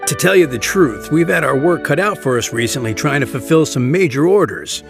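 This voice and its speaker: A courteous older butler in a fantasy game, his voice a bit gravelly and pitched slightly high. He speaks formally and lively, while game music plays behind him. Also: fairly quick. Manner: formal, courteous, coughing now and then. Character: polite, dutiful older male butler. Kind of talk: video game cutscene dialogue. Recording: game audio with background music.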